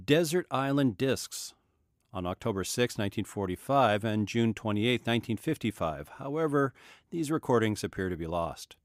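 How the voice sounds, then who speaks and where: A man reading scripted biographical narration as an announcer, in a measured, factual manner. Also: medium pitch, dry recording, clean studio sound.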